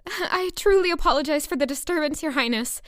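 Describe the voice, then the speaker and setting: An actress playing an earnest, nervous young palace maid who narrates and speaks in a first-person audio drama. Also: female voice, high voice, animated, a little fast, no echo, studio quality. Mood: nervous, apologetic.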